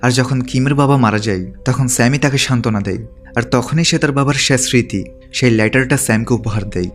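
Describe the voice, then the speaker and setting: A man's voice: mid-range voice, fast talker, soft background music. A man reading a scripted story recap as a narrator.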